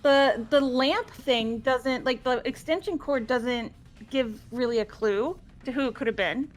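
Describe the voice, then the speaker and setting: A woman's voice: high voice, unhurried. A woman talking casually as she reasons through a game mystery with friends. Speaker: video game player discussing a mystery with friends. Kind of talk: casual group chat.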